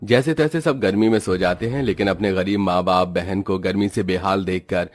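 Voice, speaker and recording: Male narrator, scripted storytelling, fairly low pitch, background music. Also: moderate pace.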